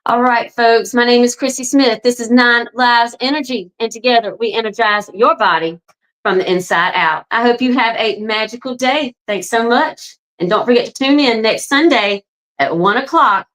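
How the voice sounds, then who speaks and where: A woman's voice: a little high, moderate pace, a bit bright, rough recording. A woman in her forties talking casually and earnestly to viewers, with frequent ums and "right?" tags, promoting her astrology readings. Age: 40s. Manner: earnest, chatty, addresses viewers as folks. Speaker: astrology and wellness coach. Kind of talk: casual direct-to-camera promo talk.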